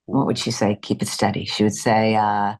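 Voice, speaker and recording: A man who works as a game voice actor, chatting casually in a halting, improvised way. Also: lowish voice, level tone. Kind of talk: casual chat.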